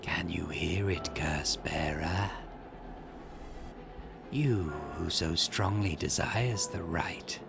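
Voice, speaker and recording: A man voicing a sinister, vengeful curse spirit in a breathy whisper for a visual novel game. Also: sits low, slow pace, pauses often, over music, voice pushed down, heavily acted. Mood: sinister, taunting.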